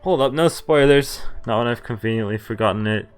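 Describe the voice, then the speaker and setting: A man's voice: medium pitch, brisk, clear, resonant tone. A man livestreaming a visual novel, reading it aloud expressively with casual side comments and occasional stumbles. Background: game music.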